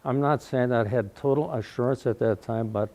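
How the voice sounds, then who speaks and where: Elderly man around ninety, mellow, warm low tone, guest speaker telling his story loudly without a microphone. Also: normal speed. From Wausau, Wisconsin, USA. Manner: folksy storytelling, often clears his throat. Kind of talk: personal life-story talk to a live audience. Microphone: no microphone, recorded in the room.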